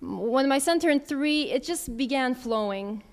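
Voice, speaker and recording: A woman's voice: high voice, expressive, measured pace. A woman in her thirties answering audience questions in a candid, conversational way, thinking aloud as she goes. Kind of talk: casual Q&A talk.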